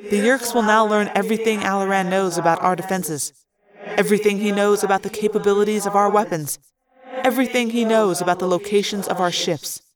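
A woman voicing a young male alien cadet who speaks quietly and wearily, full of guilt. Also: medium pitch, natural voice, rough recording. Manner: quiet, weary, subdued.

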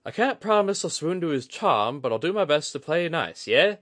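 A man voicing a brash, teasing woman leader in a fantasy audiobook, with wry, rough, folksy speech. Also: fairly high pitch, sing-song, normal speed, fairly bright tone, voice pushed up. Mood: grudging. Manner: wry, needling, colloquial. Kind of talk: audiobook fantasy character acting.

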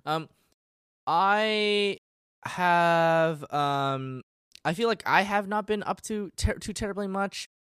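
A man's voice: sits high, slow, a bit bright, smooth, dry recording, studio quality. A man co-hosting a podcast in casual chat, explaining things in a rambling, hesitant way. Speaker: podcast co-host.

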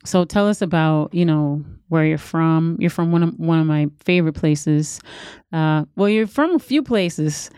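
A woman's voice: deep voice, measured pace, warm tone, smooth, no room sound, studio quality. A woman hosting an interview in a casual, conversational way. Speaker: interview host.

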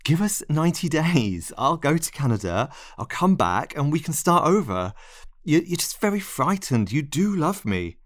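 Man playing a desperate lovesick man, raised pitch. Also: takes it slowly, hardly any pauses, no room sound. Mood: desperate pleading. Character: lovesick pleading man, desperate, refusing to accept rejection. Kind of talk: dramatized monologue storytelling.

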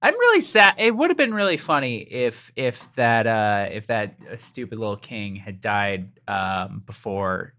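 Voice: mid-range voice, sing-song, unhurried, hardly any pauses, sharp tone. A co-host of a snack review show, chatting casually and rambling with sarcastic asides. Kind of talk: casual chat.

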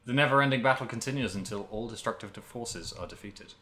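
A man's voice: medium pitch, quick pace, pushed and bright. A man co-hosting a podcast, talking in a casual, conversational way. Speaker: podcast co-host. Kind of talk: casual chat.

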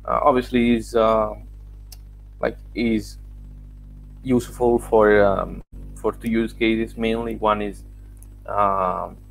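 Gravelly-voiced man explaining. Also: on the low side, fairly even intonation, slow, pauses often, faint music behind, rough recording. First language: Italian. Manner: explanatory, walks through examples step by step. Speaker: guest, software founder. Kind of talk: casual interview answers.

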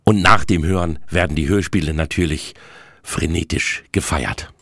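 A man's voice: sits low, normal speed, loud music behind the voice. A man narrating a podcast report as presenter.

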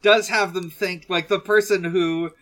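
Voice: medium pitch, steady tone, a little slow, fairly bright tone. A podcast host chatting casually and reading out listener questions, hesitant and often trailing off mid-thought.

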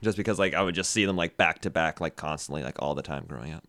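A man's voice: rumbling low, fast, fairly bright tone, dry recording, studio quality. A young man from Canada, a comedian guest on a podcast, rambling casually with many "like" fillers.

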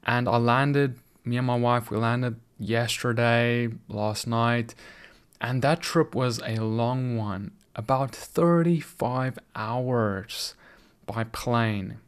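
A man's voice: mid-range voice, slow, clean tone. A middle-aged South African man whose first language is Afrikaans, giving an earnest, conversational sermon on video with frequent restarts. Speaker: preacher.

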